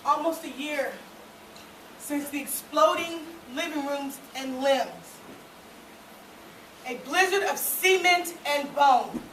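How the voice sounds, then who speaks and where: A woman performing a solemn dramatic monologue on stage, deliberate and with dramatic pauses. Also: high-pitched, a bit bright. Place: theatre stage, recorded from the audience. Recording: echoey hall from far off, faint noise.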